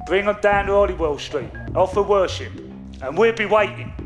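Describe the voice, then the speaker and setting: A man's voice: high-pitched, normal speed, close to an everyday voice. A man playing a volatile, sadistic London gangster in a video game, taunting and bullying in a London accent. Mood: menacing orders. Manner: taunting, bullying, foul-mouthed. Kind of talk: video game cutscene acting. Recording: music behind the voice.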